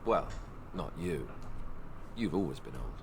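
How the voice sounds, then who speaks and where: A man's voice: a bass voice, big pitch swings, unhurried, full character voice. An actor playing an earnest, boyish young warrior in a fantasy video game, hesitant and a little cheeky in his delivery. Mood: awkward, earnest. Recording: clear background noise.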